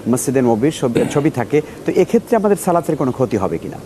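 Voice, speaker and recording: A man's voice: medium pitch, sing-song, quick pace, mellow, a little hiss or hum. A man with a Bangladeshi accent hosting a religious programme and putting questions to a guest. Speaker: host putting questions to a scholar.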